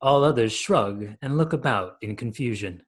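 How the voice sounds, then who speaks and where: Husky man reading stage directions. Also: medium pitch, normal speed. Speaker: narrator. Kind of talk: scripted narration of stage directions. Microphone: video call.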